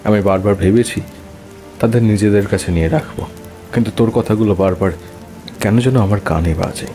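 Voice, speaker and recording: A man's voice: lowish voice, dark tone, close to an everyday voice. A man playing a loving, grieving soldier brother in an audio drama, emotional and pleading, repeating himself. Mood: sorrowful. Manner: emotional, pleading, halting repetitions. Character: grieving war-veteran elder brother, loving, anguished. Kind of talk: Bengali horror audio drama acting. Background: music and rain.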